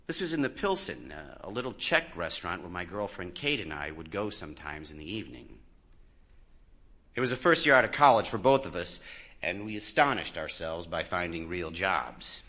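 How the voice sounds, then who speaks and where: A man reading a first-person literary short story expressively, swelling in volume and dropping softly. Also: low-pitched, normal speed. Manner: expressive, with strong swells and soft drops in volume.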